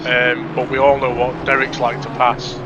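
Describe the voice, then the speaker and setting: A man's voice: medium pitch, level tone, moderate pace, lo-fi sound. A man co-commentating a sim race in a conversational way, often asking his partner questions. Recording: loud background noise.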